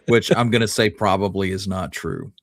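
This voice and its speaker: A man's voice: deep voice, no room sound. A man co-hosting a podcast in casual chat, informal, musing and questioning, close on the microphone. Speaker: podcast co-host.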